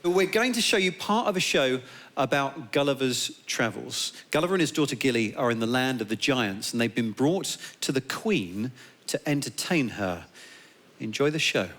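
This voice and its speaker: A man's voice: mid-range voice, expressive, normal speed, fairly bright tone. A man presenting a live stage show to an audience. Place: theatre stage. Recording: live theatre with faint audience noise.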